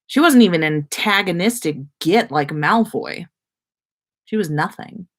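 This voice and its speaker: A woman's voice: on the low side, lively intonation, unhurried. Woman reading a story aloud. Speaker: podcast host reading listener fan fiction. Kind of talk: scripted story narration, read aloud.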